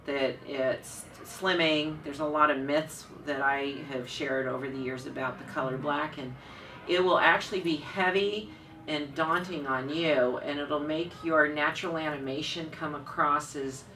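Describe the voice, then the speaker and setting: A woman's voice: low-pitched, fairly even intonation, measured pace. A middle-aged woman, the founder of a styling program, talking in an assertive, enthusiastic way in an interview. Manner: assertive, enthusiastic, self-described louder voice. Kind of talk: conversational interview. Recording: distant mic with faint room noise.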